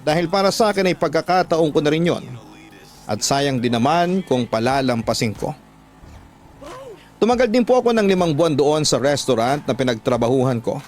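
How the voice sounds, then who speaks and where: A man's voice: a little high, lively intonation, normal speed, a little mellow, soft background music. A man narrating a scripted first-person story as a radio drama narrator.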